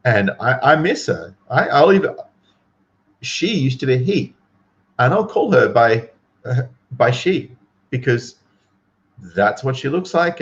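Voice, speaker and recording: A man's voice: medium pitch, highs cut off. A man giving casual, opinionated commentary to livestream viewers in an incredulous, emphatic, slangy manner.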